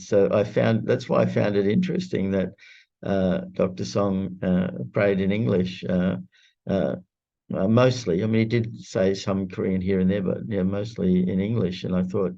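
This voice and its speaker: A man whose first language is Greek, talking in a casual group video call and pausing often with fillers. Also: low voice, fairly even intonation, creaky, warm tone, dull audio. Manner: halting, lots of filler pauses. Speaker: prayer group member. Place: indoor room shared with another participant.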